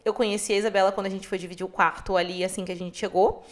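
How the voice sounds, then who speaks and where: A young woman from Rio de Janeiro with a Carioca accent, hosting a podcast and telling her own story in a chatty, expressive way that keeps turning to her listeners. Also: mid-range voice, racing. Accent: Carioca Brazilian Portuguese. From Rio de Janeiro, Brazil. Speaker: podcast host. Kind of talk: casual storytelling monologue.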